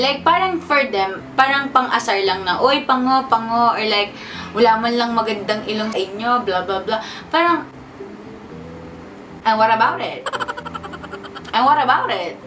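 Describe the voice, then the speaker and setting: A woman in her twenties with a Filipino accent, chatting playfully in a sit-down question-and-answer vlog, mixing English and Tagalog. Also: a little high. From Philippines. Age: 20s. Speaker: vlogger. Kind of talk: casual sit-down Q&A chat. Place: at home. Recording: distant mic with background noise.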